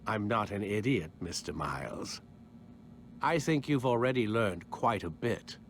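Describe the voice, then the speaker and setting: An actor playing a condescending, secretive scientist captor in a video game, wry and clipped. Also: male voice, lowish voice, expressive, measured pace, slight background noise. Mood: impatient, smug. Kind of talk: dramatic video game acting.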